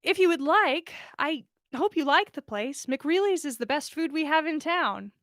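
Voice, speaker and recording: Woman reading as earnest young man, shy, modest, hesitant, raised pitch, storybook character. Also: lively intonation, measured pace, a bit bright, no room sound, studio quality, full character voice. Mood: friendly.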